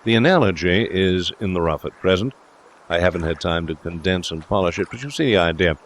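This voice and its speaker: A man voicing a brash, talkative young gentleman with a complacent, pompously philosophical manner, in a storybook reading. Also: deep voice, animated, a little fast, a little hiss or hum. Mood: confident, persuasive. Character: brash, self-assured young gentleman philosopher, cheerfully smitten.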